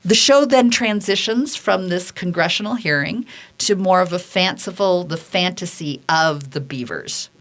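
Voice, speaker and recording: A woman's voice: lowish voice, unhurried. A woman hosting a live radio talk show, chatting conversationally from the studio.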